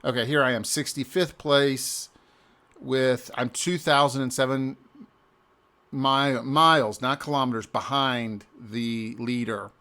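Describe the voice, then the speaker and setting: A man's voice: mid-range voice, steady tone, pushed and bright. Easygoing man rambling through a recap. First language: English. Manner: easygoing, rambling, often pauses and restarts mid-sentence. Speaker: amateur cyclist reviewing an event. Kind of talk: casual screen-share walkthrough.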